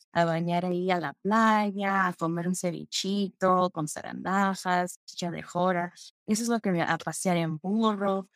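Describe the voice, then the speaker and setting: A young woman from Peru telling stories about her childhood in a relaxed interview, slipping in English phrases. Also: mid-range voice, dry recording. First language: Spanish. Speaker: guest. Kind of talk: conversational interview.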